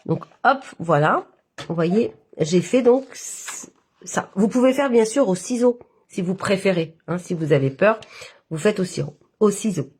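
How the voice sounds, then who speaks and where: A woman's voice: mid-range voice, expressive, measured pace, darkish tone, breathy edge. A woman with a head cold, talking nasally as she walks viewers through a papercraft project step by step, with many fillers. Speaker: papercraft instructor. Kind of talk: live-stream craft tutorial.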